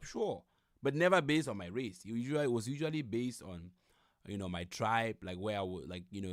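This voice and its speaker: A man's voice: lowish voice, no room sound. Male livestream host, casual and incredulous reaction commentary, close dry sound. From Cameroon.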